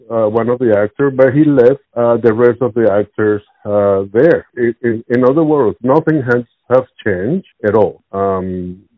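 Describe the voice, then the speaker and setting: Halting Venezuelan man on a phone-like line. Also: medium pitch, no room sound. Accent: Venezuelan Spanish. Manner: halting, searching for words, repeats himself. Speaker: interviewee. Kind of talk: spontaneous interview answers. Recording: tinny narrowband phone-like line.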